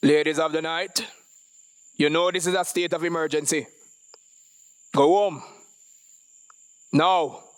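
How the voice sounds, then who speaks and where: A gruff police officer from a short story, acted out by a male reader. He pitches his voice up and barks with authority. His manner is commanding, suspicious and mocking. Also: fairly even intonation, slow pace, long pauses. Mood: stern, commanding. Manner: barking orders, authoritative.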